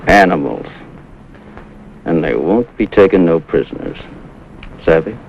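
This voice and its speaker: An actor playing a tough, blunt, seasoned American Marine with terse, slangy speech in a 1950s war drama. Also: male voice, sits low, lively intonation, unhurried, vocal fry, full character voice. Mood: blunt, grim. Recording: muffled 1950s TV soundtrack, faint noise.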